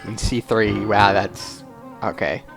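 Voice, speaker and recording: A man's voice: fairly low pitch, flat delivery, slow, gravelly. A man giving casual gameplay commentary as a video host, with informal muttered reactions. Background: game music.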